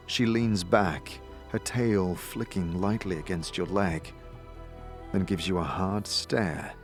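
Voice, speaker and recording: A man narrating a dramatic second-person story as a game master, in a measured, deliberate, atmospheric manner. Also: low-pitched. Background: music.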